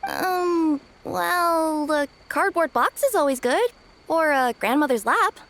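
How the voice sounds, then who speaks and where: A woman's voice: sits high, expressive, slow, a bit bright, a little hiss or hum, full character voice. An actress voicing a friendly, easygoing cat yokai woman in a video game, speaking with hesitant fillers. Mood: musing.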